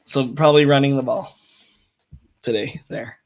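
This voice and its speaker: A young man with a slightly raspy voice co-hosting a fantasy football show, giving injury news in a matter-of-fact way with many fillers. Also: medium pitch, steady tone, normal speed. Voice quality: a bit raspy. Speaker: co-host. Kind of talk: casual fantasy football chat.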